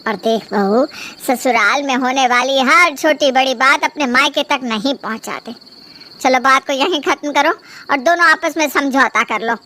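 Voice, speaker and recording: A woman's voice: high-pitched, moderate pace, sharp tone. A woman voicing an older mother-in-law in a moral story, reasoning in a placating, motherly way. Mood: gently admonishing. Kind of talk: Hindi moral-story drama.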